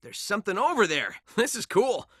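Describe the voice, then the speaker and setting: An actor voicing a young, upbeat hedgehog speedster hero in a video game, breezy, self-assured and teasing. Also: male voice, sits high, big pitch swings, normal speed, fairly bright tone, husky, no echo, studio quality, full character voice. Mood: upbeat, cocky.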